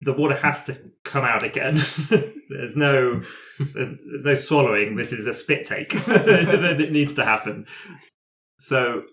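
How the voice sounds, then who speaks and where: A man playing a tabletop roleplaying game, casually and haltingly improvising what his character does. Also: mid-range voice, a bit bright, a little hiss or hum. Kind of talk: casual improvised play, describing character actions. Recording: echoey room.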